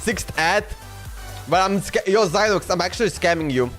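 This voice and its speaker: A man's voice: sits high, moderate pace, a bit bright. A man livestreamer chatting casually in a slangy, exclamatory way as he reacts, often repeating himself. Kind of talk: casual reaction stream chat. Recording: background music under voice. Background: music.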